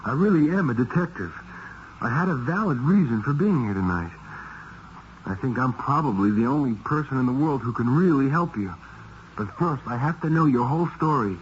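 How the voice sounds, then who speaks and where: A man's voice: mid-range voice, lively intonation, fairly quick, dull audio, natural voice. Actor for male private detective, radio mystery: blunt, in command, mid-range voice, direct phrasing, hissy 1970s broadcast. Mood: urgent, commanding. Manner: clipped, direct questioning. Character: blunt, quick-thinking male detective, hard-boiled and in command. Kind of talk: 1970s radio mystery acting with first-person narration.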